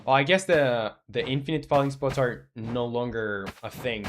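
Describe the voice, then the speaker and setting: A man's voice: mid-range voice, normal speed, a bit bright, slight background noise. Young man thinking aloud while coding. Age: 20s. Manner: rambling, thinking aloud with fillers, answering chat. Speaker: game developer streamer. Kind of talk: casual live-stream chat.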